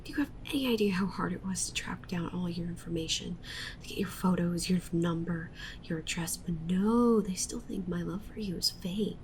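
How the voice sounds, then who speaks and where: A woman's voice: fairly low pitch, normal speed, a little mellow, a little hiss or hum, lightly acted. A woman playing an obsessive, clingy yandere witch streamer in an intimate roleplay ASMR monologue, half-whispering with intensity. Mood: obsessive, feverish.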